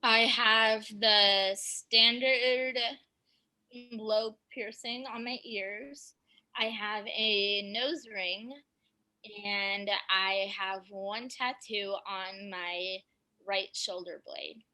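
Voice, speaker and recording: A woman of twenty-one giving matter-of-fact interview answers in a measured way. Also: mid-range voice, steady tone, bright, forceful tone, rough recording.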